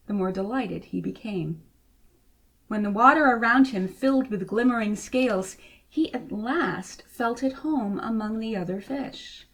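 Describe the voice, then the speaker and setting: A woman reading to children as a storyteller, with expressive narration. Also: medium pitch. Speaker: storybook reader.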